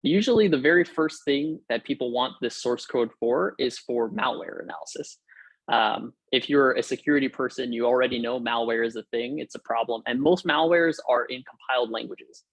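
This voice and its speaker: A man's voice: mid-range voice, moderate pace, dry recording, low-quality recording. A young man from Hawaii giving an upbeat, informal technical talk over a video call, with frequent fillers and restarts. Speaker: guest lecturer. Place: room at home or office.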